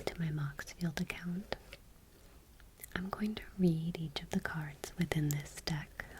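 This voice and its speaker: A woman speaks in a gentle ASMR whisper, slightly low and very mellow. She takes her time with every phrase, close to the microphone, with a faint noise behind her. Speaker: ASMR creator and host. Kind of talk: soft-spoken ASMR, whispered product showcase.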